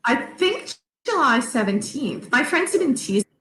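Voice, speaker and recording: Lively middle-aged woman explaining, echoey room. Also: sits high, normal speed. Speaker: interview guest, academic and author. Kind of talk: conversational explanation. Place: indoor room on an online video call. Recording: echoey room, some background noise.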